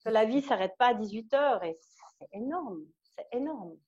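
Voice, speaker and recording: A woman from Switzerland with a Swiss French accent, giving an enthusiastic, halting testimonial full of fillers and restarts. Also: on the high side, expressive, a little mellow, slightly rough, highs cut off. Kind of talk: casual testimonial interview.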